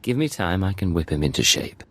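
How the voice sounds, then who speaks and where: An actor playing a cool, sardonic secret agent in a video game, dry and self-assured. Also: male voice, low-pitched, big pitch swings, fairly quick, a bit bright, full character voice. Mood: wry, confident. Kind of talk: video game cutscene acting.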